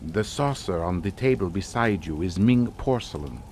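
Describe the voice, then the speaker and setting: Courtly, menacing count in radio suspense; formal, deliberate, richly varied melody. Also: male voice, low voice, lively intonation, a little mellow, a little hiss or hum. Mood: ominous, measured. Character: suave, sinister aristocratic Hungarian count and uncle. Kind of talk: radio suspense drama acting.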